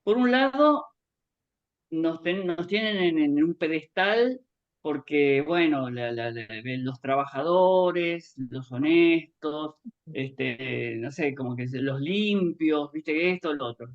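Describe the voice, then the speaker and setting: Higher-voiced Argentine man, rambling and conversational. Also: animated, slow pace, dull audio. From born in Japan, grew up in Argentina. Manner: rambling, conversational, acts out remembered dialogue. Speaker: guest author giving a testimony. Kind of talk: personal reminiscence in an online talk.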